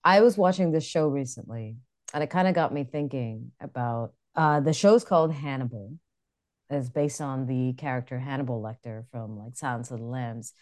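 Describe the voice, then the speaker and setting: American woman, low voice, chatty podcast co-host, animated. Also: moderate pace. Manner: chatty, animated storytelling with frequent laughs. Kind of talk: casual chat.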